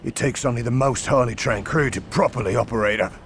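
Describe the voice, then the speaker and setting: A man's voice: mid-range voice, fast, a little hiss or hum, lightly acted. A man playing a dutiful, formal commissar in a video game, giving deferential military reports. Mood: formal, grim. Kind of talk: dramatic video game cutscene acting.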